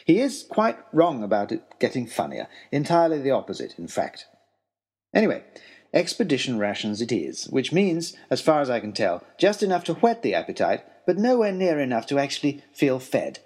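Male narrator for a first-person adventure story: wry, formal, very animated, with a faintly husky edge. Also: mid-range voice, moderate pace.

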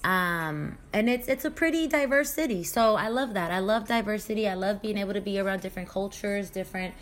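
A woman's voice: medium pitch, a little fast. A woman in her thirties talking candidly in a casual personal vlog, chatty and loose with slang and the odd swear word. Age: 30s. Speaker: vlogger.

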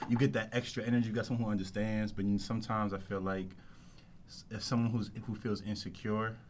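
A man's voice: lowish voice, level tone, fast, crowd noise. A man who is a guest on a podcast, thinking aloud in a casual chat and repeating words as he restarts his sentences. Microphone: studio microphone.

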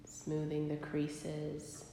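A woman leading a guided body scan meditation, speaking gently and unhurriedly with long pauses. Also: low-pitched, completely flat delivery, slight background noise. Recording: very echoey live recording, long reverb.

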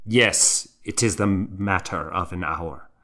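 A man's voice: low voice, slow, pushed and bright, heavily acted. A man reading the part of a stern, cold monk who serves as the abbot's assistant, speaking in a clipped, disapproving way. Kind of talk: audiobook character voice.

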